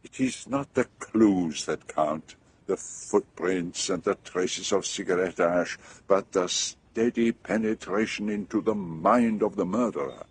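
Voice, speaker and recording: An actor playing a fussy, shrewd older detective with a Belgian French accent in a radio drama, speaking precisely and deliberately. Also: male voice, deep voice, a little hiss or hum. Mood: self-satisfied.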